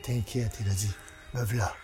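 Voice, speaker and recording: An actor playing a bitter, vengeful village carpenter, speaking in a brooding, menacing monologue for a French audio drama. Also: male voice, on the low side, steady tone, unhurried, loud music behind the voice, lightly acted. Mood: reassuring.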